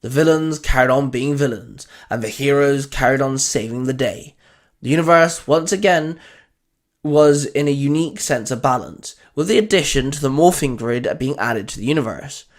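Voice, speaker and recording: A man's voice: medium pitch, moderate pace. A man reading scripted fan fiction narration as the storyteller.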